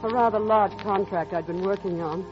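A woman's voice: medium pitch, moderate pace, over music, close to an everyday voice. A woman playing a poised, tender and anxious businesswoman in an old-time radio drama, with emotive, theatrical delivery. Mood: warm, reflective. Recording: muffled, noisy vintage radio recording.

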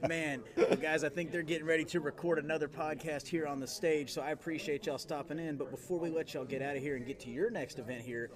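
Podcast guy interviewing at a busy convention, kinda high voice, lots of crowd noise, says dude a lot. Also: steady tone, fast, few pauses. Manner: effusive, says man and dude often. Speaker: podcast host. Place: live on site at a busy convention hall. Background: crowd chatter.

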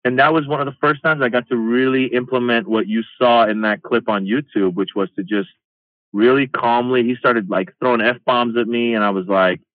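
A man's voice: mid-range voice, normal speed, dry recording. Middle-aged man from Iran on a tinny line. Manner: animated, acts out quoted exchanges. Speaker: stand-up comedian, guest. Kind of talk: casual interview storytelling. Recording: tinny phone-like line, dry.

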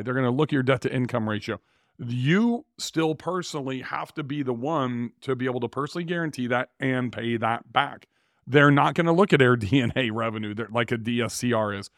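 A man hosting his own show, talking casually and in a rambling, self-correcting way with a slightly raspy, tired voice. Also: medium pitch, expressive, a little fast, no echo, clean studio sound. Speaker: podcast host. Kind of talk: casual solo talk on real estate finance.

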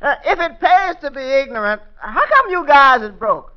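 A dopey, cheeky juror pipes up in a very high, bouncy voice with ungrammatical wisecracks. It sounds like a muffled old radio comedy. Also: male voice, big pitch swings, moderate pace. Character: dim, cheeky male juror in comic court. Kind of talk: 1940s radio comedy acting.

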